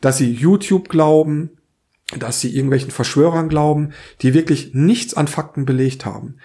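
Male podcast co-host, hoarse with a cold, opinionated and earnest delivery. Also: on the high side, moderate pace, warm tone. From Saarland, Germany. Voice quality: rough, hoarse. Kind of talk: opinionated political podcast talk.

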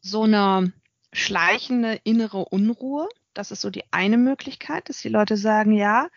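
A woman's voice: medium pitch, measured pace, creaky, no room sound, lo-fi sound. A middle-aged woman, an author and consultant, giving a thoughtful interview with frequent hesitations. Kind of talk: reflective interview talk.

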